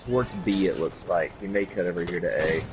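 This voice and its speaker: A man's voice: low-pitched, fast. A man playing with friends on a stream, casually calling out what is happening in the game. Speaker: co-player calling out game events. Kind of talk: casual gameplay chat. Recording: clear noise and clicks behind.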